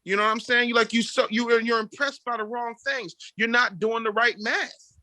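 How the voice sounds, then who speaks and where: A man guesting on a podcast, talking in a loud, booming, blunt and profane way, sometimes slipping into mocking voices. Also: steady tone, moderate pace, no room sound. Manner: slips into mocking put-on voices. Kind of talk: profane casual chat.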